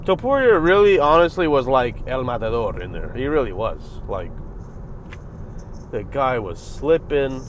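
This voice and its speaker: Man, casual fight commentary, medium pitch, loud bursts then low mutters, faint car noise outside. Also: a little slow. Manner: animated, profane, swings between loud bursts and low mutters. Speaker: reaction video host.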